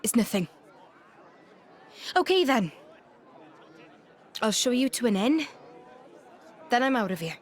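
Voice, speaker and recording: A woman's voice: on the high side, big pitch swings, slow, pauses often. Blunt, feisty young woman. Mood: guarded, brusque. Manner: blunt, colloquial British slang. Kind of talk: video game cutscene acting. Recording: music and crowd behind the voice.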